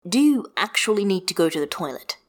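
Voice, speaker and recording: A woman reading the part of a gentle adult man who patiently calms his small daughter in a storybook reading. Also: on the low side, quick pace. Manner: soft, reassuring. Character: gentle adult male wizard, patient father.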